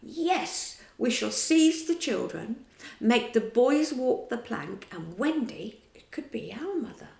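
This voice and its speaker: A woman storyteller puts on a scheming pirate captain, grand and menacing. His slow, theatrical lines carry a gravelly rasp. They ring in a roomy, echoing space. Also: a little high, animated, a little mellow, full character voice. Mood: gloating.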